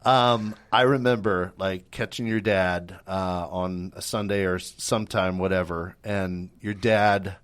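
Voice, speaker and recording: A middle-aged male radio host with a low voice. He chats in a relaxed, upbeat way and keeps backing up his guest. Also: unhurried, hardly any pauses, smooth. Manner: affirming, upbeat, frequent yeahs. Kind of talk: casual interview chat.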